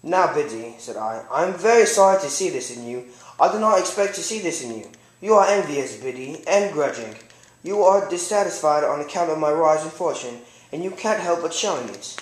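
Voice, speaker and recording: A man's voice: a little high, animated, fairly quick, natural voice. A man playing a proud, condescending young man, reading first-person literary narration and dialogue formally in an amateur puppet show. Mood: snappish. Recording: echoey room.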